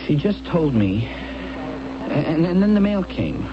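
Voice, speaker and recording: A man's voice: a little high, sing-song, slow pace, a crowd in the background. A man playing an anxious, bewildered young husband in a 1970s radio drama, speaking earnestly and hesitantly with repeated words. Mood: puzzled, earnest. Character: anxious, bewildered young husband recounting his wife's collapse. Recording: dull, noisy 1979 radio recording with hum.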